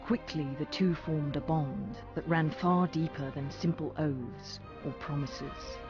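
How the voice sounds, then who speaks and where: A fairly high-pitched man delivers scripted fantasy narration in a dramatic storyteller's manner. Music plays clearly behind his slightly muffled voice. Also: fairly even intonation, a little slow. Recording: muffled game audio under music.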